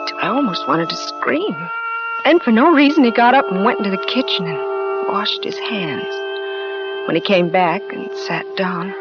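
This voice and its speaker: An actress playing a worried wife who also narrates, in a vintage radio drama, anxious and stammering. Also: female voice, medium pitch, animated, moderate pace, over loud music, natural voice. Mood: narrating. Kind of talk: 1940s radio acting. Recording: dull lo-fi old radio recording.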